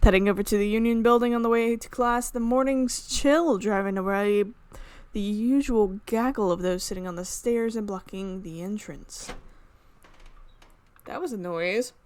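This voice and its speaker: A woman's voice: mid-range voice, normal speed, darkish tone, smooth. A woman reading a visual novel aloud as a let's player, narrating dramatically and slipping in casual asides. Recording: music playing behind the voice.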